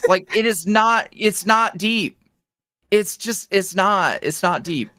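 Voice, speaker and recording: A man speaking as a podcast guest in a casual interview, animated and jokey, with slangy, self-deprecating talk. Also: high-pitched, slow, no echo. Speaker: podcast guest, audio roleplay creator.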